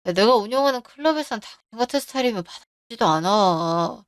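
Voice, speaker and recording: A woman's voice: a little high, animated, quick pace, no room sound, clean studio sound. A woman voicing a brash, selfish husband who brushes off his wife's worries. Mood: dismissive. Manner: dismissive, snapping.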